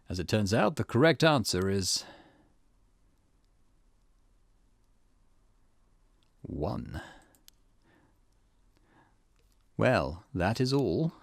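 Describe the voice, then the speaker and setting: A man reads as a polite, knowing storyteller from a visual novel game, addressing the player directly. The phrasing is formal and measured, yet the pitch moves in a lively way, with music playing behind. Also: no echo, clean studio sound, heavily acted. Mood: composed, teasing. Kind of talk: visual novel narration read aloud.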